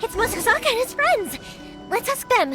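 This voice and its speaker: An actress voicing a spirited, kindly young fireworks maker in an anime game, with animated delivery. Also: female voice, very high voice, moderate pace, faint music behind, exaggerated voice. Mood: determined. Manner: animated, emphatic.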